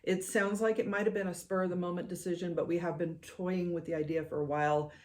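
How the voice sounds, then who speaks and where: A middle-aged woman co-hosting a podcast in casual chat, with a soothing, measured way of talking. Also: lowish voice, level tone, a little mellow. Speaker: podcast co-host.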